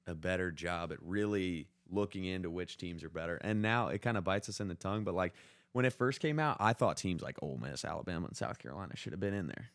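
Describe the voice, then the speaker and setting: A man's voice: deep voice, animated, quick pace, no echo. A man co-hosting a podcast, giving opinions in casual sports debate. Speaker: podcast co-host.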